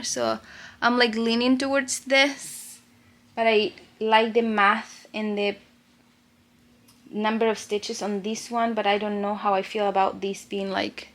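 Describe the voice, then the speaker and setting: Slow-talking, bright-voiced woman vlogger. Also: medium pitch. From Chile. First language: Spanish. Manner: chatty, thinking aloud, trailing off. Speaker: knitting and crochet pattern designer. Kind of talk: casual vlog chat.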